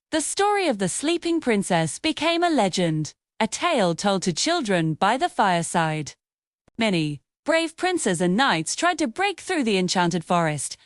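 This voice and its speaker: High, expressive woman storyteller. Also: no echo, clean studio sound. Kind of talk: scripted fairy-tale narration for children.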